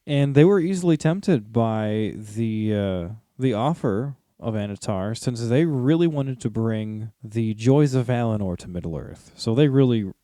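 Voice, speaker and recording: A man's voice: medium pitch, expressive, unhurried, few pauses, mellow, dry recording, studio quality. A man co-hosting a podcast, explaining things in a casual, informal way. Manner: informal, joking asides. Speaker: podcast co-host.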